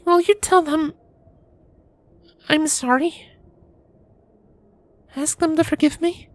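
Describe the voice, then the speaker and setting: A woman's voice: sits high, steady tone, slow, no echo, voice pushed up. A woman voicing a sulky, remorseful young female pony princess with a hesitant, grudging manner in a storybook reading. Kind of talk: fan fiction storybook reading.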